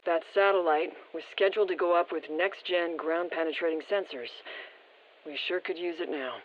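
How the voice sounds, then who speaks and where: A woman's voice: lowish voice, steady tone, normal speed. A woman playing a sober female field briefer in a video game, informative and restrained, through a radio filter. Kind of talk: scripted video game voice lines. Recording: muffled, band-limited radio transmission.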